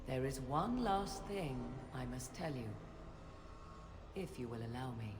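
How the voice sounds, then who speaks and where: A woman's voice: a bass voice, animated, measured pace, echoey room, big-room sound, background noise. An actress playing a proud, guarded witch in a fantasy video game, with formal archaic phrasing and a theatrical, cutting delivery. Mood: resigned, guarded. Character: proud, guarded witch, sharp-tongued and ominous.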